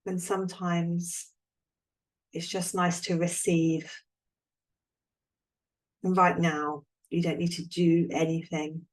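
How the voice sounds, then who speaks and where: Woman with a lowish voice guiding relaxation. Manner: calm, soothing, unhurried with long pauses. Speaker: relaxation guide, yoga nidra instructor. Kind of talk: guided relaxation meditation.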